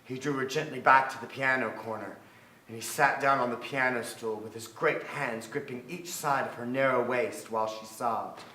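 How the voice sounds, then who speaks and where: A man's voice: mid-range voice, fairly even intonation, moderate pace, sharp tone, lightly acted. An actor playing a wistful man recalling his boyhood in theatrical literary narration. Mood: wistful, intense. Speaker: stage actor in a staged reading. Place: theatre stage. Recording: echoey theatre hall. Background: violin music.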